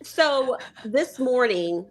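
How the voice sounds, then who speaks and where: A woman's voice: high voice, expressive, drawn-out words, heavy background noise. A woman co-hosting a podcast in casual group chat, with an emphatic, slangy, informal way of talking. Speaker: podcast co-host.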